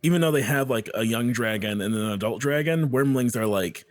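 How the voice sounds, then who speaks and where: A guest on a podcast talking casually about tabletop monsters, lively and quick to laugh, often cutting himself off to restart a thought. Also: male voice, mid-range voice. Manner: animated, laughing, self-interrupting. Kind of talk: casual chat.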